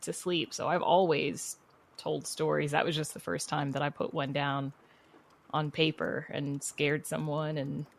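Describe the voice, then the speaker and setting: A woman's voice: low-pitched, little pitch movement, a little slow, gravelly. A woman telling stories casually as an interview guest, candid and chatty, with lots of "you know" and "like". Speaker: podcast guest, horror writer. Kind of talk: casual conversational storytelling.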